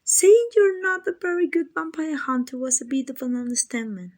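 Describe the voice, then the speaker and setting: A woman's voice: high voice, animated, a little fast, darkish tone. A woman voicing an arrogant, possessive female vampire in an ASMR roleplay, teasing and condescending to the listener. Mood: mocking, amused. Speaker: amateur voice actor.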